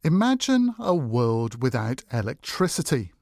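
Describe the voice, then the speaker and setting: A man's voice: medium pitch, very animated, unhurried, a little mellow, dry recording, studio quality. A man presenting a scripted review in a narrated style.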